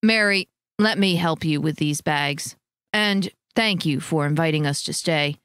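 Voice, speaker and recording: A woman voicing a calm, firm husband. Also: deep voice, a little slow, clean tone, dry recording, clean studio sound, voice pushed down. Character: firm, steady husband, warm but unbending.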